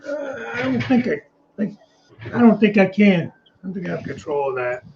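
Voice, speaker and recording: A man with a rough, mumbling voice, casually chatting on a live stream and reading out viewer comments. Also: high-pitched, sing-song, moderate pace. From United States. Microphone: home desk mic on a live stream. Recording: muffled stream audio with faint noise.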